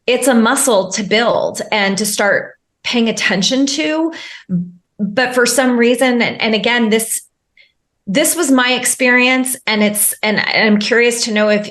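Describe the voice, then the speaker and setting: A woman's voice: on the high side, measured pace, a bit bright. A woman who works as a life coach, a guest on a podcast, explaining at length with lots of fillers, restarts and repeated words. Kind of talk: podcast interview.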